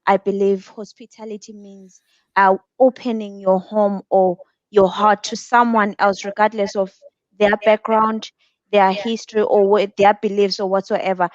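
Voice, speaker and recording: Young adult woman from Zimbabwe, guest speaker; earnest, measured delivery; dry, muffled lo-fi audio. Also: medium pitch, little pitch movement, no echo. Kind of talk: reflective online talk. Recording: dull, dry lo-fi sound.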